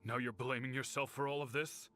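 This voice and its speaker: A man's voice: medium pitch, steady tone, fairly quick, sharp tone, natural voice. A man acting a solemn, weary father and clan head in a video game, with grave, formal delivery over music. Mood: grave, regretful.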